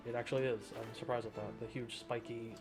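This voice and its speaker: A man's voice: medium pitch, little pitch movement, quick pace. Casual male gamer with music behind. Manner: rambling, self-talking, wry. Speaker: gamer, streamer. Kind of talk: casual gameplay commentary. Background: game music.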